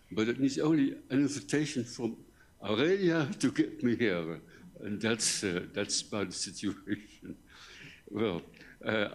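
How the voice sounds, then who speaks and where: Older man with a low, soft voice. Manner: halting, careful, with repeated words and pauses. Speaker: visiting academic lecturer. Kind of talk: conference lecture in English. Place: university lecture hall. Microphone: table microphone, seated.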